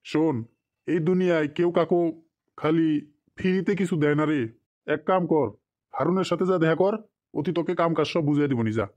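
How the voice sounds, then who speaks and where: A man's voice: fairly high pitch, brisk, pauses often, a little mellow, dull audio, lightly acted. A man voicing a callous, exploitative cartoon boss, scolding and dismissive in a rural Bengali dialect. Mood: scheming. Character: callous, exploitative boss and his bullying henchman. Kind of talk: cartoon drama dialogue.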